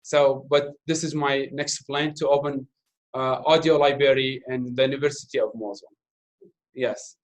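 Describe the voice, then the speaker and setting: A man in his thirties, a native Arabic speaker from Iraq, answering interview questions in halting English full of fillers and restarts. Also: medium pitch, fairly even intonation. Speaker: interview guest, doctoral student. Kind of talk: casual Q&A talk.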